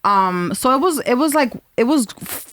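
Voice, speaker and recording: A woman from New in her thirties, chatting as a guest in a lively way, laughing often and mixing in Spanish words. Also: a little high, normal speed. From New York (Dyckman, Bronx area). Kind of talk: casual chat. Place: recording studio.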